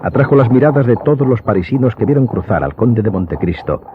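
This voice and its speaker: A man's voice: mid-range voice, animated, fast talker, background noise. A man giving scripted literary narration for an audio drama. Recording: muffled, music playing behind.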